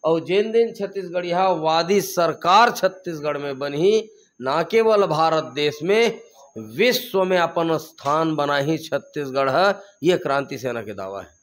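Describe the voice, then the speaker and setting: A man with a Chhattisgarhi accent speaks with fervent conviction, his voice sitting a little high for a man. He stacks phrase on phrase in rhetorical chains and pushes up hard on the words he wants to land. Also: lively intonation, unhurried. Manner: fervent, repetitive rhetorical build-ups, rising emphatically on key points. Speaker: activist leader being interviewed. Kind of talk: impassioned political interview answers.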